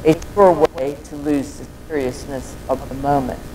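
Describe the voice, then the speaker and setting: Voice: mid-range voice, fairly even intonation, moderate pace, warm tone, slight background noise, low-quality recording. An older person reading a scripted devotional reflection and personal anecdote as a storyteller.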